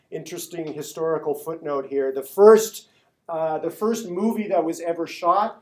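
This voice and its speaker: A man's voice: a little high, a little mellow. A male university lecturer giving a classroom lecture, conversational, often checking in with "right?" and restarting phrases. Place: large lecture hall. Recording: echoey lecture hall.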